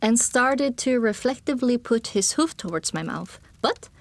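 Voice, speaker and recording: Woman audiobook narrator, kinda high voice, very expressive storytelling. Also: moderate pace, no room sound. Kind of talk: expressive first-person fiction narration.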